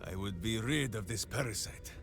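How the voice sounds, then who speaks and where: An actor playing a hearty, simple-minded heroic warrior in a video game, speaking with theatrical, earnest grandeur. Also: male voice, fairly low pitch, normal speed, gravelly. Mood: resolute. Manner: grandiose, earnest third-person speech. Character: hearty, devoted, simple-minded heroic warrior man with beloved pet hamster. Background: game music.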